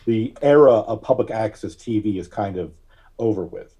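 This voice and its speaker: Mellow-voiced man, halting and deliberate. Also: fairly low pitch, husky. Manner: halting, deliberate, with frequent long hesitations. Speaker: podcast guest. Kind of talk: casual interview recollection.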